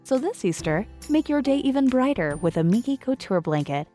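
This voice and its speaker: A woman's voice: fairly high pitch, very animated, moderate pace, mellow, soft background music. A woman reading a scripted advertisement as an announcer.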